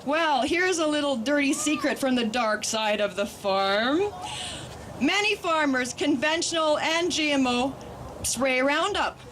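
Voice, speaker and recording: Woman, high pitch, emphatic rally speaker, repeats words for stress, faint traffic and outdoor noise. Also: expressive, measured pace, a bit bright. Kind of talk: public rally speech. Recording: noisy outdoor event with traffic.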